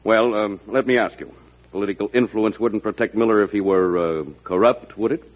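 An actor as brisk, blunt, low-voiced congressman. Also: steady tone, natural voice. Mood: guarded, businesslike. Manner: blunt, clipped. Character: blunt, brisk, shrewd plain-spoken male congressman. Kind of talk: old-time radio drama acting. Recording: dull old radio broadcast recording.

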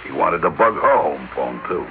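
A man's voice: a bass voice, lively intonation, moderate pace, noisy background. An actor playing a reluctant, conscience-troubled businessman and older brother in an old-time radio drama. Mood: rueful narrating. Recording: muffled old radio broadcast, music behind.

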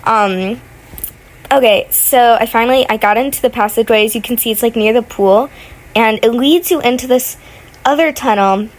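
A woman's voice: a little high, normal speed, low-quality recording. A young woman who is sick narrates gameplay from her bed at home in a casual, rambling way full of fillers. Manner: rambling, many fillers and restarts. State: sick, voice sounding off. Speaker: gaming vlogger.

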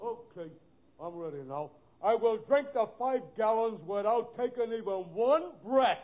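An actor playing an odd, simple-minded drummer in a 1940s radio comedy. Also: male voice, high voice, lively intonation, moderate pace. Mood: solemn. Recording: faintly noisy, narrow-band vintage radio recording.

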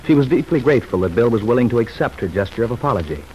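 A man's voice: mid-range voice, expressive, rapid-fire, lo-fi sound. A man narrating an old-time radio serial as its announcer, reading scripted story commentary. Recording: faintly noisy vintage radio recording.

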